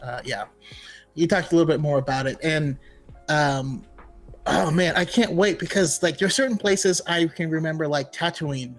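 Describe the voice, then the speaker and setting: A man with a slightly high voice chats casually about games as a podcast co-host. He is enthusiastic and tends to ramble excitedly. Faint music plays behind him. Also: moderate pace. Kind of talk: casual enthusiastic gaming chat.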